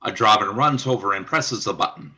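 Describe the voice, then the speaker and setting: A male narrator reads scripted stage action for a radio play. His voice is on the low side, with a bright, forward edge. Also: fairly quick.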